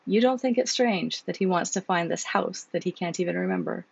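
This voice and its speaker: A woman with a cold reading her novel aloud, voicing a caring young woman who gently questions her partner, her hoarse voice slightly gravelly. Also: fairly low pitch, brisk, dry recording, highs cut off. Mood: curious.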